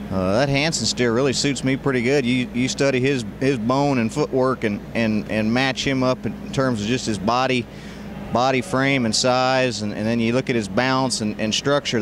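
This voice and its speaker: An American man offers live commentary as a livestock show co-commentator. His voice is middle-pitched and his talk is halting, with words repeated as he thinks. A clearly noisy arena is heard around him. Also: fairly even intonation. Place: large indoor show arena.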